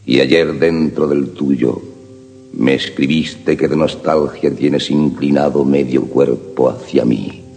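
Very deep, gravelly man reciting poetry. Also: fairly even intonation, a little mellow. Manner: slow, solemn, measured delivery. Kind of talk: recited love sonnets. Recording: long reverb with harp music behind.

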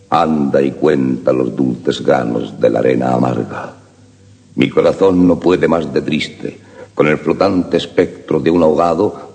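A man reciting love sonnets in a slow, solemn, measured delivery. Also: rumbling low, vocal fry, mellow. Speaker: poetry reciter. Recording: long reverb with harp music behind.

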